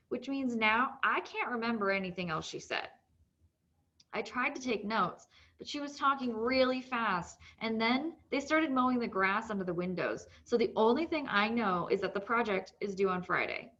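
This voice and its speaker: A woman's voice: medium pitch, a bit bright, highs cut off. A woman reading a children's novel aloud as scripted narration.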